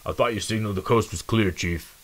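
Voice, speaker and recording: A man voicing a huge, good-natured, goofy mouse soldier in a storybook reading, with a booming, dopey delivery. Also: fast talker, lightly acted. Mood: goofy, apologetic.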